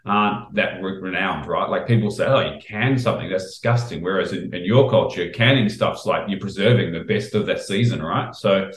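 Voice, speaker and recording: Middle-aged Kiwi chef, casual and rambling, slightly low pitch, roomy echo on the mic. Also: male voice, a little fast, hardly any pauses. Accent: New Zealand. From New Zealand. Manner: relaxed, rambling, frequent fillers and restarts. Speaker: chef guest. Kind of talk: casual interview chat.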